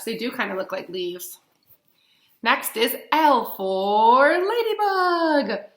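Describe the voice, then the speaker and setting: A woman's voice: fairly high pitch, bright, forceful tone. A woman in her thirties reading aloud to young children as a teacher, asking simple questions and stressing key words. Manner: simple explanations with frequent questions to listeners and stressed letter names. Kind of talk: read-along lesson for children. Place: indoors, small plain-walled room. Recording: roomy, echoey webcam sound.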